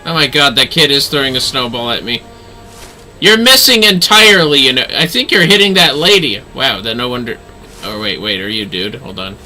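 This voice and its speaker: A man giving casual livestream game commentary in an animated way, often talking to himself. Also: mid-range voice, normal speed, rough recording. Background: music.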